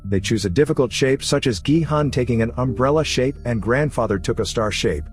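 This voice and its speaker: A man's voice: medium pitch, fairly quick. A man reading a scripted story recap as a narrator. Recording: music running behind the voice. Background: background music.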